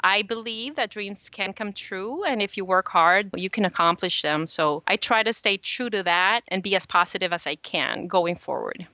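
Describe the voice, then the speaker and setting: Bright, clear woman from El Salvador. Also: mid-range voice, normal speed, hardly any pauses, no echo. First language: Spanish. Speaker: podcast guest, small business owner. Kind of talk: conversational interview answers. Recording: slightly dull, lo-fi audio.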